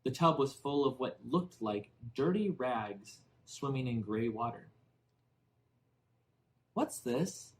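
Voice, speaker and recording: A man reading a children's novel aloud in expressive, deliberately phrased storybook narration. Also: medium pitch. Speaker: audiobook narrator.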